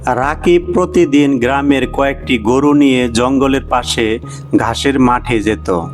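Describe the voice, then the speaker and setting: Male storybook narrator, unhurried and measured, music bed underneath. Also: medium pitch. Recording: music behind the voice.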